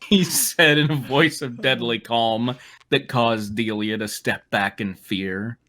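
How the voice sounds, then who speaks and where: A man's voice: medium pitch, steady tone, slow, bright, forceful tone. A man narrating fan fiction and adding joking commentary as he goes.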